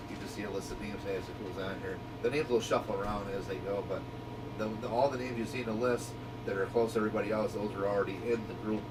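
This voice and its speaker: A man's voice: lowish voice, fairly even intonation, fast, distant mic, background noise. A man hosting a radio group in a folksy, easygoing way, explaining things in casual instructional chat. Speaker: radio shop owner hosting a walkie-talkie group channel.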